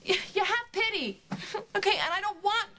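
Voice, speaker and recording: High-voiced, frightened, proud soap heroine. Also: female voice, big pitch swings, a crowd in the background. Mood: bitter, ashamed. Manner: halting, emotional, pleading. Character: frightened, ashamed woman ageing rapidly, stubborn and proud. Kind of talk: soap opera acting. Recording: TV drama with prominent music underneath.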